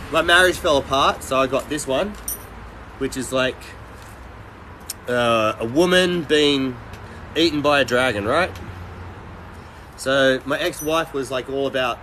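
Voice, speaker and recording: A middle-aged man livestreaming, chatting casually with viewers in a blunt, rambling, halting way. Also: medium pitch, pushed and bright, slight background noise, low-quality recording. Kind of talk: casual live chat with viewers.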